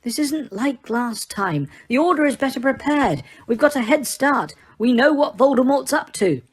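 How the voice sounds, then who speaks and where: A woman voicing a calm grown man who speaks firmly and soothingly to a crying friend. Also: high voice, moderate pace, breathy edge, pitched above the performer's own voice. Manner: firm and soothing.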